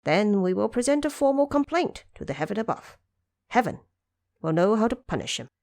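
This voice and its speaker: A woman's voice: medium pitch, sing-song, brisk, a little mellow, no room sound, studio quality, pitched above the performer's own voice. A woman doing a cautious sea dragon king. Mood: urging.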